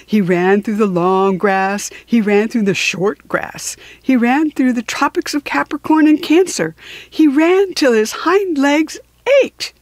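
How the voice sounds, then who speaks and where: A woman's voice: a little high, unhurried, dry recording. A woman narrating a children's storybook expressively, with dramatic, rhythmic emphasis.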